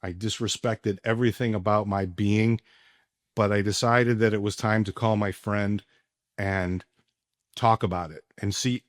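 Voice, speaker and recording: A man's voice: on the low side, fairly even intonation, unhurried, no room sound, studio quality. A man in his sixties hosting a podcast, talking casually in a blunt, conversational way. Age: 60s. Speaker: podcast host. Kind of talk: casual podcast chat and personal testimonial.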